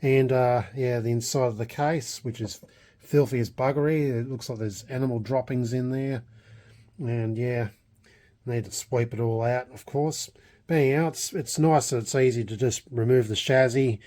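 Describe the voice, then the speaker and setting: A man's voice: mid-range voice, steady tone, normal speed, warm tone. A man with an Australian accent giving a casual, unscripted show-and-tell, hesitant and meandering as he goes. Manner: hesitant, meandering delivery with trailing thoughts. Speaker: hobbyist vlogger.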